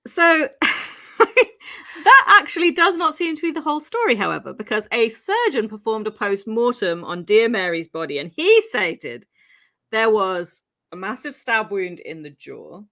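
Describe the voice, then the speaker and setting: A woman's voice: fairly high pitch, sing-song, normal speed, bright, forceful tone. A woman from Wales, co-hosting a podcast, tells a true crime story in a chatty, laughing way full of ums and asides, her English carrying faint Welsh traces. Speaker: podcast co-host.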